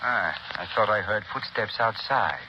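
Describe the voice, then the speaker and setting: An actor playing a cold, embittered mad scientist with pompous, precise menace, in 1930s radio style. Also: male voice, on the low side, lively intonation, measured pace. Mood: irritated. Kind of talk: 1930s radio villain acting. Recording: muffled, noisy 1930s radio broadcast.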